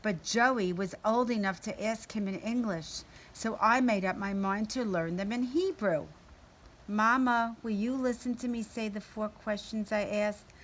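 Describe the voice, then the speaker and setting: A woman reading a children's story aloud in an expressive manner, acting out the dialogue. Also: mid-range voice, a little slow, slight background noise. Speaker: story reader.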